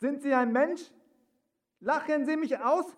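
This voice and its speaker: A woman's voice: sits high, measured pace, far from the mic. An actress in a radio play playing an anxious, polite woman who pleads deferentially with a starving professor. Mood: bewildered.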